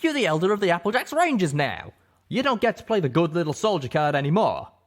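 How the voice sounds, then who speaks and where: A man's voice: high-pitched, big pitch swings, fast talker, voice pushed up. A man voicing a brash, agitated male pegasus pony with a folksy, exclamatory manner in an audiobook. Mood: angry.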